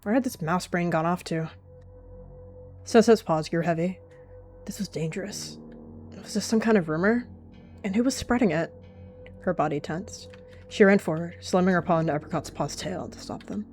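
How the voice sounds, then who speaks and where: Low-voiced woman narrating a roleplay. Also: normal speed, pauses often, darkish tone, faint music behind. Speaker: roleplay player.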